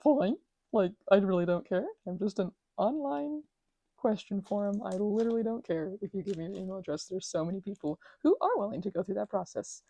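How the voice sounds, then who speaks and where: A woman's voice: medium pitch, a little fast, dark tone, dry recording, lightly acted, rough recording. A woman playing a snippy online question forum that demands an email address. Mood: dismissive. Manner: dismissive, curt.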